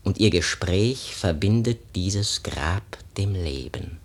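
An actor playing a solemn, poetic prologue speaker in a radio drama, declaiming in a measured, theatrical way. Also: male voice, sits low, animated, heavily acted. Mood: hushed, storytelling. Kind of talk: recited verse prologue in German radio drama.

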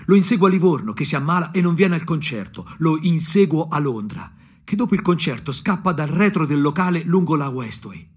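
An actor playing a disillusioned provincial ex-punk looking back with bitter irony, in a husky, brooding radio drama monologue with dramatic pauses. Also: male voice, fairly high pitch, expressive. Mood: agitated, ironic. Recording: music playing under the voice. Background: guitar music.

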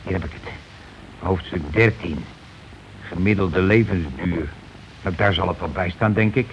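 A man's voice: sits low, a little slow. A blustering working-class husband in an old radio play. He lays down the law in colloquial speech and pushes for agreement. The recording is muffled, with some noise behind the voice. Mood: muttering, pondering. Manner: argumentative, colloquial, keeps demanding agreement. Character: bossy, blustering working-class husband and landlord, war veteran.

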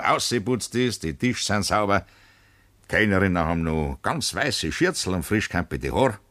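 A man's voice: low voice, fairly bright tone, no echo. An actor playing a jovial, beer-loving man with a Bavarian accent in a 1960s radio play, folksy and cajoling.

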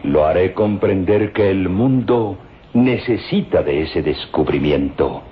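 Deep, dramatically swooping eager professor. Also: male voice, animated, takes it slowly. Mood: fervent persuasion. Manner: dramatic, insistent, weighty delivery. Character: eager, covetous male professor obsessed with ancient tombs. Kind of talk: old radio serial acting. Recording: dull old radio recording, faint music. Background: faint dramatic music.

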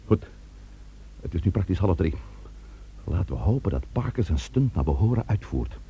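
An actor playing a commanding, determined police chief with a terse, authoritative manner in a Dutch radio play. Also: male voice, sits low, very animated, normal speed, lo-fi sound, background noise. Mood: brisk, tense.

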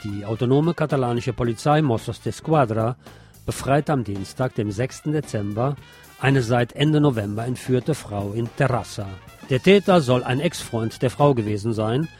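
A man reads scripted news as a radio presenter in a medium-pitched voice. He slips in wry asides between the facts. Music plays faintly behind him. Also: normal speed, darkish tone.

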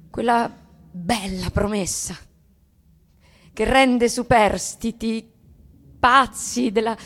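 An actress playing a bitter, yearning bourgeois wife in a dramatic radio reading, deliberate and hushed, with long pauses. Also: female voice, on the high side. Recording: music and sound design behind.

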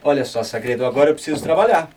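A man's voice: mid-range voice, animated, rapid-fire, a little mellow, far from the mic, a little hiss or hum, close to an everyday voice. A man with a Brazilian accent playing a friendly, chatty newsstand vendor in a scripted radio drama.

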